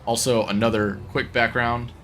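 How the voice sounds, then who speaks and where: A man's voice: mid-range voice, level tone, moderate pace, fairly bright tone, a little hiss or hum. A man with a congested voice from a cold, rambling easily through casual commentary while streaming a driving game. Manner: rambling, self-correcting, easygoing.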